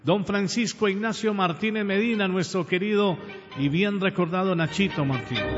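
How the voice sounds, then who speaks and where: A man from Pereira, Colombia, with a Colombian accent, closing his radio show with heartfelt thanks and blessings. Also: sits high. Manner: earnest, heartfelt, religious blessings. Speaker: radio host. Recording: muffled radio audio with long reverb and music bed.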